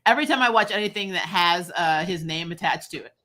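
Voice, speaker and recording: A woman's voice: fairly low pitch, fast talker, sharp tone. A woman hosting a casual film chat, talking in a blunt, sarcastic, slangy way. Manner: blunt, sarcastic, slangy, laughs through stories. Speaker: channel host reviewing films. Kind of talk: casual opinionated film discussion.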